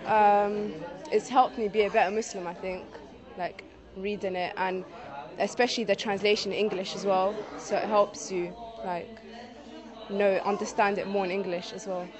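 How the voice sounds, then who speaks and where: Woman, soft-spoken, level even delivery, lots of ums, crowd noise. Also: medium pitch, normal speed. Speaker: event spectator. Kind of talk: vox-pop interview answers. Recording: crowd chatter behind.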